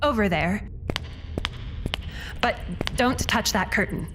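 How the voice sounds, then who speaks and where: A man playing a lovesick, entitled opera phantom in an audio comedy sketch, awkward, halting and boastful. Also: high voice, noisy background. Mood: petulant. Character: lovesick, entitled masked opera phantom, self-proclaimed musical genius.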